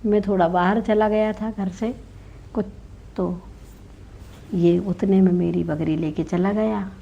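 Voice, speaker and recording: A woman's voice: medium pitch, fairly even intonation, takes it slowly, gravelly, mellow, husky, exaggerated voice. A woman voicing an aggrieved village man in a folk tale, insistent and protesting. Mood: insistent, complaining. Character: aggrieved village man who lost his goat. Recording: dull lo-fi recording.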